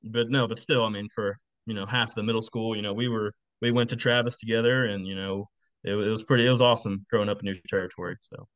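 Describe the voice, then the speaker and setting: A man in his late twenties gives casual, plainspoken answers as an interview guest. He talks quickly in a slightly low voice. The sound is close and dry, with an informal conversational feel. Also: fairly even intonation, a bit bright, dry recording, poor audio. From Texas, United States. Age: late 20s. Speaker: podcast guest, football coach.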